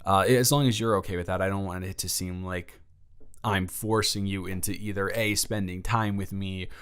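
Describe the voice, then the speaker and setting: A man's voice: deep voice, steady tone, moderate pace, a bit bright, clean tone. Stammering, nervous young college guy, acted by a man. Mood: nervous, grateful. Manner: stammering, rambling, self-deprecating, earnest. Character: shy, nervous, sweet young male college freshman from small-town Georgia. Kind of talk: romantic audio roleplay, one-sided conversational acting.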